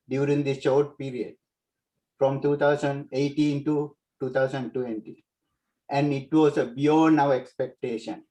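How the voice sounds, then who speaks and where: A man with a Sri Lankan accent delivers a prepared speech over a video call. His voice sits at an average pitch, and he speaks as the founder addressing an online gathering. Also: mellow, low-quality recording. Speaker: foundation founder opening a webinar.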